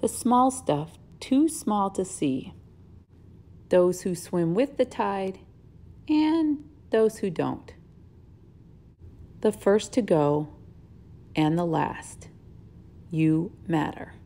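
A woman's voice: medium pitch, sing-song, extremely slow, pauses often, mellow. A middle-aged woman giving a children's read-aloud and casual instruction, speaking to young listeners in simple, encouraging phrases. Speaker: teacher reading a picture book aloud to children.

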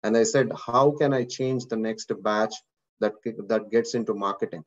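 An earnest man with an Indian accent gives a motivational talk to his students over a video call. His voice sits low, and he often repeats himself and adds fillers. The call audio is dull and lo-fi. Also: normal speed. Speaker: course instructor.

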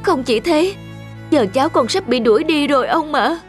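Woman playing a meek, high-voiced young servant girl. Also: lively intonation, normal speed. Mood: plaintive. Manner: polite, humble, plaintive. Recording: music under the voice.

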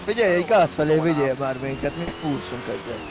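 Male gamer, higher-pitched, excitable and slangy casual banter, heavy car noise in background. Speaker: player in an online roleplay game. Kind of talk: casual gaming banter with swearing. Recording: loud car engine noise throughout. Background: game car engines.

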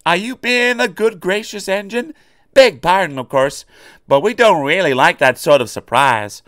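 A man's voice: sits high, lively intonation, normal speed, fairly bright tone, no room sound, studio quality, pitched above the performer's own voice. A man doing a wry, polite engine. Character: dry polite male engine, gently mocking.

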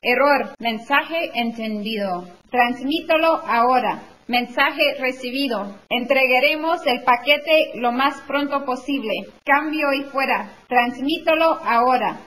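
A woman playing a formal, impersonal radio operator in a video game, giving measured procedural announcements. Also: sits high, fairly bright tone, poor audio.

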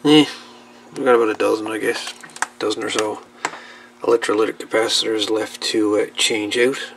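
A man giving casual, unscripted narration at a workbench in a halting, muttering way as he works. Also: fairly low pitch, gravelly, fairly bright tone. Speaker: hobbyist host of radio repair videos. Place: indoor workbench. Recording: noisy home-camera audio with clicks.

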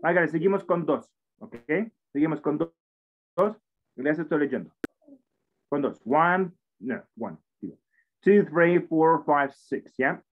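A man's voice: a little high, animated, takes it slowly. A man in his forties with a foreign accent in Spanish, teaching an English class online in a patient, encouraging way that often repeats itself. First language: not Spanish. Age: 40s. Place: indoor room at a computer. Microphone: computer mic on a video call. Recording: tinny phone-like online call audio.

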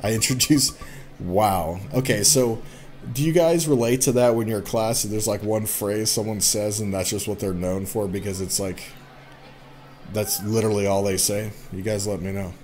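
Low-voiced man doing casual gaming commentary. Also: fairly even intonation, moderate pace, a little mellow. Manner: informal, reacts with exclamations. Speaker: gaming YouTuber. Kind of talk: casual let's play commentary, reading game dialogue aloud. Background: game music.

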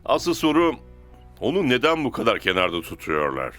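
A man playing a wary, thoughtful soccer player in an animated cartoon dub. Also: mid-range voice, normal speed, faint music behind.

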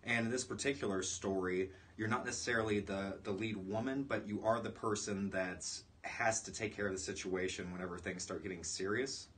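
A man in his thirties hosting a relaxed, conversational interview in a small room, picked up by a distant mic. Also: fairly low pitch, fairly quick, few pauses. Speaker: podcast host and writer. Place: small indoor room, seated in armchairs. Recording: distant room mic, audible background noise.

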